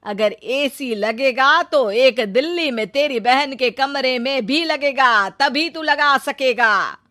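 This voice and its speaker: A woman's voice: sits high, slow pace, bright, forceful tone, dry recording, clean studio sound, pitched above the performer's own voice, heavily acted. Woman playing a blunt middle-aged mother. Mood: indignant. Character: protective middle-aged mother of grown children, blunt and indignant.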